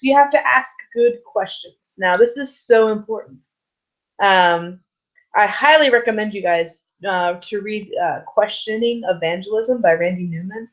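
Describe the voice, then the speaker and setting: A woman teaching a recorded church lesson off the cuff, hoarse from a sore throat, with many fillers and restarts. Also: mid-range voice. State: sore throat, losing her voice. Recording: slightly dull, lo-fi recording.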